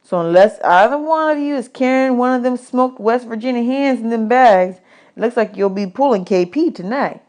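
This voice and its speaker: A woman's voice: a little high, lively intonation, normal speed, darkish tone, voice pushed up, lightly acted. A woman voicing a folksy drifter man in a storybook hobo camp, plainspoken and advising. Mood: welcoming.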